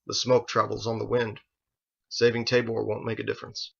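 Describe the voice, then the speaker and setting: Guy doing a calm resolute master in an audiobook, matter of fact, creaky voice, slightly dull recording. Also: fairly low pitch, full character voice. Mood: firm. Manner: measured, firm, matter-of-fact. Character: calm, resolute male master, patient and grave.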